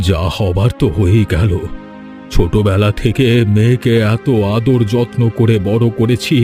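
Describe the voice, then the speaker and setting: A man's voice: mid-range voice, mellow, close to an everyday voice. A man voicing a proud, vengeful old village landlord and grieving father in a cartoon, brooding and imperious. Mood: bitter grief. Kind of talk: cartoon voice acting.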